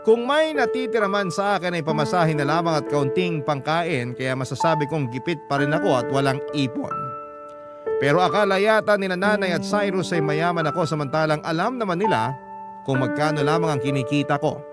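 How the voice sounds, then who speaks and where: A man's voice: on the high side, lively intonation, a little fast. A man giving earnest, scripted first-person storytelling narration for a radio drama. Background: prominent background music.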